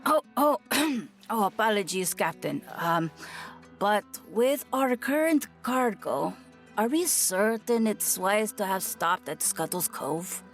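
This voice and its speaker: A woman's voice: mid-range voice, animated, takes it slowly, faint music behind. A woman playing a dutiful, cautious quartermaster who speaks formally and deferentially in an audio drama. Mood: hesitant, respectful.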